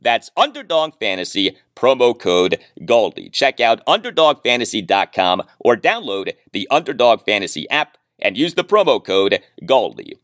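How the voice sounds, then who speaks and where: A man's voice: fairly low pitch, sing-song, moderate pace, hardly any pauses, fairly bright tone, no room sound, studio quality. An American man hosting a sports podcast, delivering scripted commentary in an emphatic, detail-heavy way.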